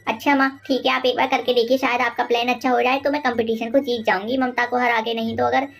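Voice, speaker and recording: A woman voicing a naive young daughter-in-law, deferential and full of questions, in an animated moral story. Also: a little high, fast, gravelly. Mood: doubtful, obedient.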